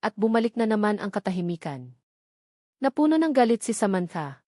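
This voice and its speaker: Female Filipino storyteller for romance audiobook, dramatic scripted read, mid pitch, close dry recording. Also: sing-song, fairly quick, a little mellow, no room sound. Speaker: audiobook narrator.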